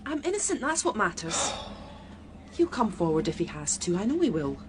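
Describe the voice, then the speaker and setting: A woman's voice: mid-range voice, big pitch swings, moderate pace, noisy background, close to an everyday voice. An actress playing a guarded, stubborn policewoman under accusation in a radio crime drama. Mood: defiant, anguished.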